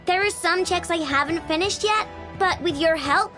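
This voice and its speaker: A woman's voice: very high-pitched, moderate pace, music in the background. A woman voicing a cheeky, brash young girl genius mechanic with a casual, boastful manner in a video game. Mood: confident, brisk.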